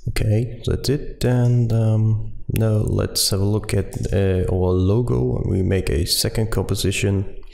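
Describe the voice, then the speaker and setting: A man with a German accent, a German native speaker, explaining a software tutorial step by step with many ums and uhs. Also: on the low side, fairly even intonation, a little slow, gravelly, few pauses. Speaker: tutorial host.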